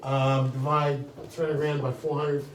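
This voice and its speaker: A man's voice: medium pitch, flat delivery, unhurried, far from the mic. A man leading a live sales coaching seminar in a meeting room, chatty and joking with the audience, often checking in with "okay?". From United States. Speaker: real estate sales trainer. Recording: echoey room, recorded from afar, faint hiss.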